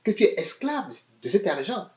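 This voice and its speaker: A man preaching a sermon in an animated way, swinging between loud emphatic phrases and quiet asides, often repeating words as he searches for them. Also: high-pitched, fairly quick, reverberant.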